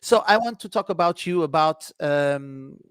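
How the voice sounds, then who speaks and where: Portuguese man in halting English, dry. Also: fairly high pitch, expressive, smooth, no echo. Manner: halting non-native English, repeats phrases. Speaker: radio-style interview host, DJ. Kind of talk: casual interview chat.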